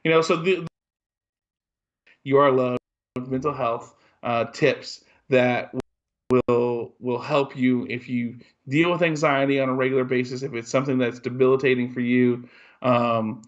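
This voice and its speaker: Earnest American-style rambling podcast co-host. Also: male voice, mid-range voice, steady tone, a little slow, muffled recording. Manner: earnest, rambling, many fillers and restarts. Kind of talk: casual podcast chat.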